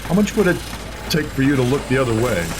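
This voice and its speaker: An actor playing a pushy, frustrated construction boss, with engine noise behind. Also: male voice, medium pitch, animated, fast talker, lightly acted. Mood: exasperated. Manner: pushy, exasperated.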